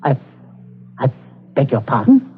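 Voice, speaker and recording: A fussy, genteel English gentleman. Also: medium pitch, wildly swooping pitch, measured pace, faint music behind. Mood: nervous. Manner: fussy, chattering, nervous stammer. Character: genteel English householder, fussy and falsely cheerful. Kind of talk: 1940s radio acting. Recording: tinny old radio broadcast, faint hiss.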